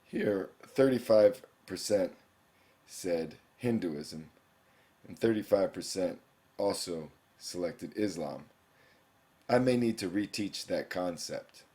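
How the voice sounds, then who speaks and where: A man's voice: low-pitched, expressive, slow, mellow. A young man, a teacher, walking through an online course in a screencast, explaining each page and reading questions aloud. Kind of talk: screencast walkthrough narration.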